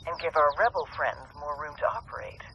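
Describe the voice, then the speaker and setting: A man playing a brisk, tough intelligence handler with an American accent in crisp, no-nonsense game radio dialogue. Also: on the high side, animated, lo-fi sound, a little hiss or hum. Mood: brisk, businesslike.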